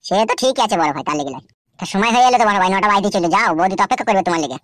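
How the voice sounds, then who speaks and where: A woman's voice: mid-range voice, animated, rapid-fire, no echo, clean studio sound, lightly acted. A woman playing a cheeky, foul-mouthed young male henchman in a comedy cartoon, with a West Bengal Bengali accent. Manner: cheeky, crude, gossipy.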